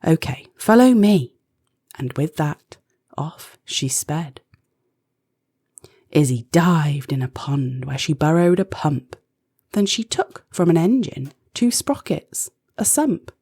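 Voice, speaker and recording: A woman narrating a rhyming children's storybook expressively, with deliberate, dramatic phrasing. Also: low voice, animated, a little mellow, husky, no echo, clean studio sound.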